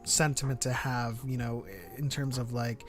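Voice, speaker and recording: A man's voice: mid-range voice, level tone, normal speed. A man hosting a casual livestream chat, reading out and answering viewer comments. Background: music.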